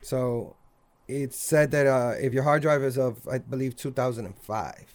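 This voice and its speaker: A man with a mid-pitched voice co-hosts a casual podcast. He is laid-back and swears freely, drawing his words out. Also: steady tone, darkish tone. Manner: laid-back, profane, drawn-out delivery. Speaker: podcast co-host. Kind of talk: casual chat.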